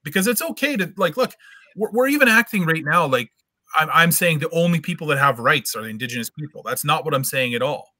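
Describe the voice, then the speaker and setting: A middle-aged man with a bright, slightly high voice presses his argument in an online debate. He lectures insistently and repeats words to hold the floor, heard dry over a home webcam call. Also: lively intonation, quick pace, no room sound. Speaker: debate guest. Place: home room. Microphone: webcam video call.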